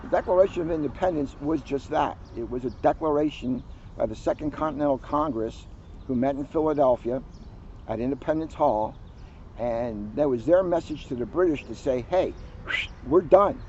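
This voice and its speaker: A man who is a police officer, reading a children's picture book aloud outdoors with lively storytelling. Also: fairly high pitch, normal speed, lo-fi sound, a little hiss or hum. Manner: lively storytelling, livens up on quoted lines. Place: outdoors on a hill at a historic house.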